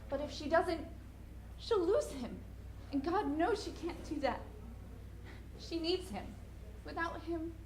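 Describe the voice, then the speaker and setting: A woman acts out a troubled teenage girl in a live play reading. The voice is high and swings widely in pitch, earnest, hesitant and pleading. It sounds in an echoey room. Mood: anguished, resigned. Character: troubled, insecure teenage girl in love, defiant with her mother. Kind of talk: staged dramatic reading of a play. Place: live presentation in a room at a college. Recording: echoey room with clear background noise.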